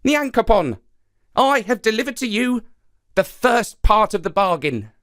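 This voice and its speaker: Man putting on a high-pitched wily little trickster spider. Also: big pitch swings, unhurried, a bit bright, no echo, clean studio sound, full character voice. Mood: sly, eager. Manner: confident, persuasive. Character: small wily boastful male trickster spider. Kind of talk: children's folktale storytelling.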